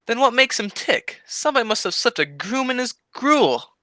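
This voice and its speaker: A man's voice: extremely high pitch, very animated, normal speed, sharp tone, no echo, full character voice. A man playing a silly, dim-witted wisecracking sidekick in a 1940s-style radio comedy. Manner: silly, cheeky wordplay.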